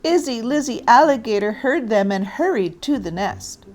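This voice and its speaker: A woman narrating a children's story aloud in an expressive, deliberate read-aloud style. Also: a little high.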